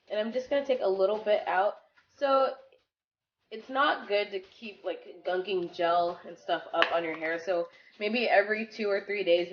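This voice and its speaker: A young woman with a congested voice from a cold, casually chatting through a hair tutorial with lots of fillers. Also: on the low side. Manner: chatty, filler-heavy, rambling. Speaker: beauty vlogger. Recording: dull lo-fi sound with background music. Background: music.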